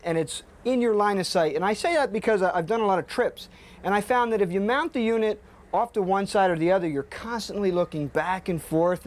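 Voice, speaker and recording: A man working as a boat rigger, explaining step by step how to mount marine electronics in a practical way. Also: high voice. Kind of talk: how-to demonstration.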